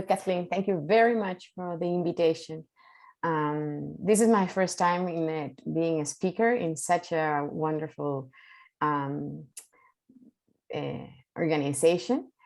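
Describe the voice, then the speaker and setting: A woman's voice: on the low side, measured pace, darkish tone. A woman from Chile with a Chilean Spanish accent and Spanish as her first language, giving a nervous, hesitant conference talk full of fillers. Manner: hesitant, many fillers and restarts. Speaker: keynote speaker, mediator.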